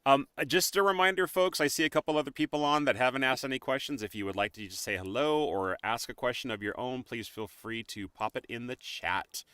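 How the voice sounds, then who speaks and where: A deep-voiced male host chats in a friendly, rambling way. His voice sounds bright and crisp, recorded very dry and close. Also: fairly quick, few pauses, dry recording. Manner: friendly, chatty, asks long rambling questions. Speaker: podcast host. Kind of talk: casual interview chat.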